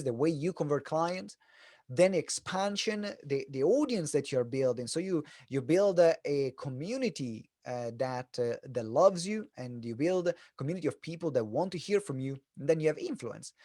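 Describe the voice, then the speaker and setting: Italian-accented man presenting, higher-pitched voice. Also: a little mellow, no room sound, clean studio sound. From Italy. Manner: informal, joking, storytelling. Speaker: speaker, business coach. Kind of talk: animated presentation to an online audience. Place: online event.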